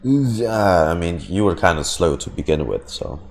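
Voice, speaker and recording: A man's voice: low-pitched, lively intonation, normal speed, a little mellow. A man streaming a video game, giving casual, slangy, wry reactions off the cuff.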